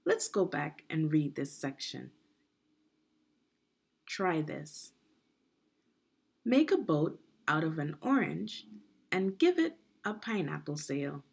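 A woman with a slightly low, gravelly voice leads a children's read-aloud. She phrases each part deliberately, like a teacher, and her pitch moves in lively swings. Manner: deliberate teacherly phrasing, asks the listener questions. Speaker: education consultant reading aloud.

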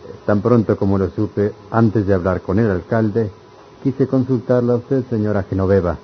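Actor as a low-voiced village priest, muffled recording. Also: male voice, steady tone, moderate pace, slight background noise, close to an everyday voice. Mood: persuasive. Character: kindly, concerned village priest. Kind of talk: old-fashioned radionovela acting. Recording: dull lo-fi radio serial.

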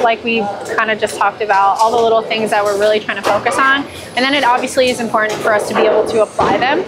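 A young woman and pro bowler explaining her practice to camera, easygoing and step by step, on a lapel mic in a large indoor bowling alley. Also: on the high side. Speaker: professional bowler coaching. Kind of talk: casual instructional talk to camera. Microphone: clip-on lapel mic. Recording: loud bowling alley noise behind. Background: bowling alley noise, clicks.

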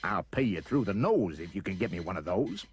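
A man's voice: low voice, sing-song, fairly quick. A man voicing a doting, persistent and polite father in a storybook. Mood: insistent.

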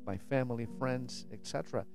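A man's voice: mid-range voice, a little fast, a little mellow, faint music behind. A man in his forties, born and raised in Brazil, giving an earnest, searching monologue to camera in his home studio on a stand mic, often restarting and checking in with "right?". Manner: earnest, searching, many restarts and repeated "right?", gestures a lot. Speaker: spiritual vlogger. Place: home studio with guitars and purple lights. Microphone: studio mic on a stand, headphones.

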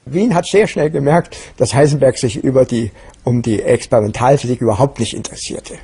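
Very raspy, creaky male interviewee. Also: medium pitch, brisk, warm tone. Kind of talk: unscripted anecdote in an interview.

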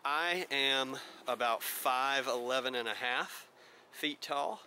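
A man's voice: medium pitch, slow, pushed and bright, a little hiss or hum. An American man around forty giving a casual gear review in vlog style. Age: 30s to 40s.

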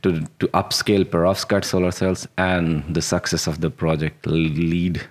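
Indian guy in his 40s, deep voice, earnest interview talk into a podcast mic. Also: level tone, normal speed. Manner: earnest, with restarts and short laughs. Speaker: startup founder, guest. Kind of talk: conversational interview answers. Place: small studio room with dark curtains. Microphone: boom-arm podcast mic on the table.

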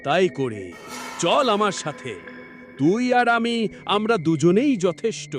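A man plays a cocky demon henchman in a horror cartoon, high-voiced and eager to please his master. He swaggers and boasts, his pitch swinging widely over background music. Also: sing-song, measured pace. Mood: boastful. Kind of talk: animated horror fairy-tale acting. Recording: music under the voice.

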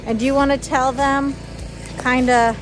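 The higher-pitched woman showing viewers around her land on a homemade outdoor vlog, music playing along. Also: little pitch movement, moderate pace, low-quality recording. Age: middle-aged. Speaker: vlog host. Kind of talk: casual chat to camera. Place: outdoors in a pine clearing. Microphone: handheld phone at arm's length.

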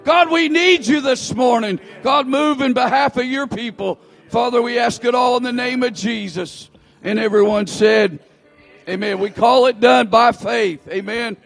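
A man leading a fervent church prayer, opening each plea with "God" and rising to shouts, in a large church sanctuary. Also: very high voice, unhurried, creaky, soft background music. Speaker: prayer leader in a church service.

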